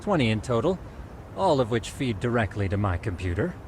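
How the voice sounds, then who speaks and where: A man's voice: medium pitch, big pitch swings, a little fast, darkish tone, a little hiss or hum, close to an everyday voice. A man playing a polite, meticulous information broker in a video game, speaking in formal, precise phrases with smug pride. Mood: explanatory, composed. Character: polite, meticulous male information broker, smugly proud of his research. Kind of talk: video game cutscene acting.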